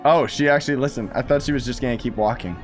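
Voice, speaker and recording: A man's voice: medium pitch, fast talker. A man giving casual, sarcastic and incredulous commentary as he streams a game playthrough. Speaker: gaming streamer. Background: game music.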